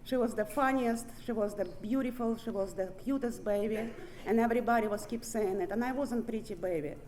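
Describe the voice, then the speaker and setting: A woman with a Russian accent tells her recovery story to a room of listeners. She talks bluntly, swears freely and laughs at herself, her voice sitting a little high. A faint hiss runs underneath. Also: brisk, a little mellow. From born in Ukraine, raised in Siberia. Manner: candid, profane, laughs often. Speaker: recovery meeting main speaker. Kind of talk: personal recovery testimony speech. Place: meeting room with audience.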